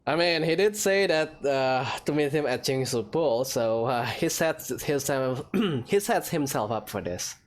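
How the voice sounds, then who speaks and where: Very low-voiced woman, slow casual game commentary. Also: animated, faint music behind. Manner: halting, amused reacting. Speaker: let's-play host.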